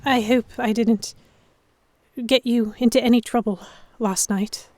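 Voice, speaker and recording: A woman playing a proud, sharp-tongued historical heroine who narrates a pirate romance in the first person, wry and expressive. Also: a little high, a little slow, no echo, natural voice. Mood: hesitant. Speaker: narrator and lead voice actor.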